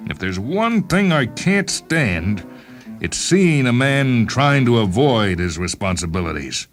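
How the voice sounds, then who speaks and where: A man's voice: medium pitch, big pitch swings, slow, pitched above the performer's own voice. A man voicing an assured, admonishing Depression-era city patrolman in a dramatized short story reading. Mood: righteous, scolding. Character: brisk, righteous 1930s New York patrolmen. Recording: music bed behind the voice.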